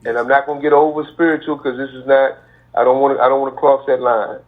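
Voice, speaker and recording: A man, a basketball coach, speaking deliberately as a podcast guest from his daughter's apartment. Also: mid-range voice, flat delivery. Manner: slow, deliberate, repeats himself as he starts. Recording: tinny phone line.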